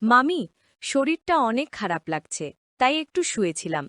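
Woman playing a high, lively, complaining young housemaid. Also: moderate pace, no room sound, studio quality. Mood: tired, fed up. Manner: complaining, emphatic. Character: hard-working young housemaid, outspoken and insistent. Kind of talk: cartoon drama voice acting.

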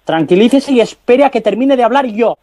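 A man's voice: very high-pitched, lively intonation, fairly quick, dark tone, no room sound, studio quality. A man with a Spanish accent from Spain playing a stern, impatient policeman in a prank phone call, bossy, sarcastic and interrogating. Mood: scolding. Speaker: prank caller and host.

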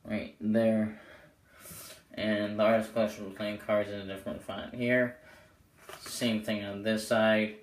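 A young man with a hoarse voice giving a casual, rambling product review to camera as the host. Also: on the low side, flat delivery, measured pace, pauses often. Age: young adult. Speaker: host of playing card deck reviews. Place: small bedroom, indoors. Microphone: camera mic a short way off. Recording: roomy echo, clear background noise.

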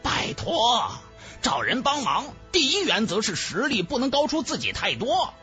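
A man's voice: very high voice, huge pitch swings, unhurried, pushed and bright, faint music behind, voice pushed up, exaggerated voice. A man voicing a blunt, impatient fantasy cultivator with a curt, grumbling manner in an audiobook. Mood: irritated.

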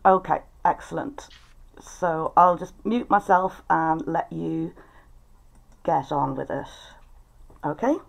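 Unhurried, lower-pitched woman hosting online. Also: steady tone, vocal fry. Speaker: host of online writers' event. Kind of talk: informal event hosting. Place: living room at home. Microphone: video call, computer at desk distance.

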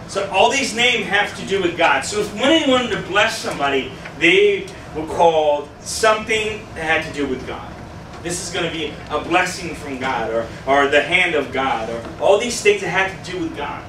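Man, youth pastor, stuffy nose, high voice, chatty questions to the room, distant camera, echoey hall. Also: bright, forceful tone, a little hiss or hum. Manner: conversational, asks the audience questions, gestures widely. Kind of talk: casual youth sermon. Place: small meeting room with a youth group. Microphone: far camera, no mic.

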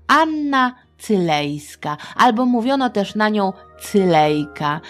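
A woman hosting a children's history podcast, telling the story in a playful, chatty, expressive manner. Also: medium pitch.

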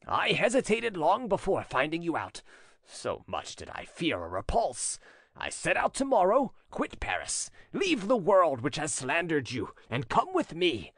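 Storybook lover played by a narrator: ardent jealous gentleman, pleading, widely swinging pitch. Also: wildly swooping pitch, unhurried, slightly rough, dry recording, full character voice. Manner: impassioned, pleading delivery. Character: ardent male suitor, pleading, jealous French treasurer. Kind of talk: audiobook character dialogue.

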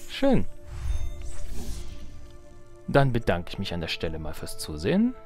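A man's voice: low voice, wildly swooping pitch, a little slow, a little mellow. Man casually commentating over game music. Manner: joking asides, musing to himself. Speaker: let's player. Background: strategy game music and sounds.

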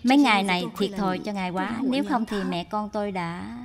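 A woman voicing a gentle, dutiful young daughter-in-law in a cartoon dub, soft, earnest and deferential. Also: medium pitch, fast talker, a loud crowd, close to an everyday voice. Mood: humble, concerned.

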